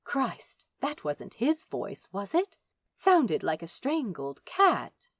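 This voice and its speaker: A woman reading fan fiction aloud as an audiobook narrator, with expressive, dramatic storytelling. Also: mid-range voice, slow, dry recording.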